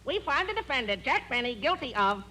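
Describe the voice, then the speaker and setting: A woman's voice: high voice, big pitch swings, brisk, sharp tone, full character voice, low-quality recording. An actress playing a jury forewoman announcing a verdict in a 1940s radio comedy. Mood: declaring.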